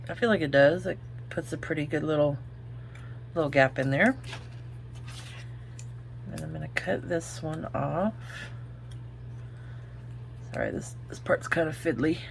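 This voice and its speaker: A woman's voice: low-pitched, unhurried, gravelly, pauses often, slight background noise. A woman with an Oklahoma accent, chatting her way through a crafting tutorial and thinking aloud as she works. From Oklahoma, United States. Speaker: craft video host.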